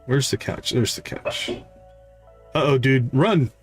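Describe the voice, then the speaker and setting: A man's voice: medium pitch, slow pace. A man giving casual gameplay commentary as a game streamer. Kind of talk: casual gameplay commentary, reading dialogue aloud. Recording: music playing behind the voice. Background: game music.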